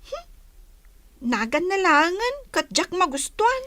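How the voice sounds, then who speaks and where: Very high-pitched feisty little village girl. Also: big pitch swings, unhurried, sharp tone, no room sound, full character voice. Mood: sulky, curious. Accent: Ilocano. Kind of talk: Ilocano radio drama acting.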